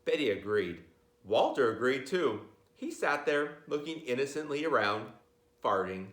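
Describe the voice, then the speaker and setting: A man's voice: medium pitch, sing-song, unhurried, a bit bright. A man reading a children's picture book aloud, playfully talking to his listeners with chatty asides. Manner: playful, addresses listeners directly. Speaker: read-aloud storyteller. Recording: roomy, echoey sound.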